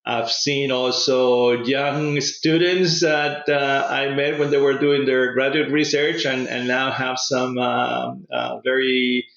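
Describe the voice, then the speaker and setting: A man's voice: medium pitch, flat delivery, gravelly, fairly bright tone. Older Peruvian man in a roomy, dull recording. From Lima, Peru. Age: 50s or older. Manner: hesitant, pausing often. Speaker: podcast guest, pet food industry consultant. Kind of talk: conversational interview answers. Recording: roomy echo, dull lo-fi sound.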